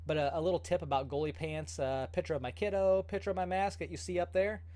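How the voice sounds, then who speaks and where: A man's voice: on the high side, quick pace, mellow. Chatty upbeat man on a livestream. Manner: chatty, filler-heavy, upbeat. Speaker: goalie channel host. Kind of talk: casual livestream chat.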